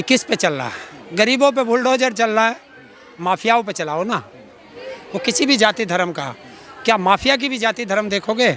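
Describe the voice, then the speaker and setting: A man's voice: high-pitched, sharp tone. A middle-aged man, speaking combatively and sarcastically in an outspoken street interview, full of rhetorical challenges. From Uttar Pradesh, India. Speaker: farmer activist being interviewed. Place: outdoors in a public place. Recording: outdoors with faint crowd behind.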